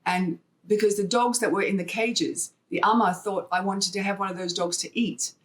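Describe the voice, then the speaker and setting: A woman's voice: medium pitch, fairly even intonation, normal speed. A woman from Hong Kong chatting in an interview, telling anecdotes and acting out bits of remembered dialogue. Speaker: podcast guest, artist and wildlife fundraiser. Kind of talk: conversational storytelling interview.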